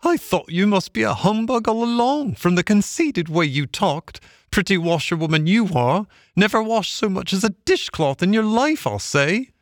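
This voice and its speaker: A man's voice: high voice, lively intonation, moderate pace, few pauses, dry recording, clean studio sound, pitched above the performer's own voice. A man voicing a brash, mocking, chatty barge woman in a storybook reading. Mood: scornful, amused. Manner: chatty, bossy, exclamatory. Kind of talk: audiobook character acting.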